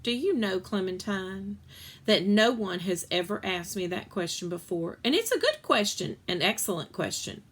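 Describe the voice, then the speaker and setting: Female principal for a children's story, patient, measured, thoughtful; read by a woman narrator. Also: mid-range voice, big pitch swings.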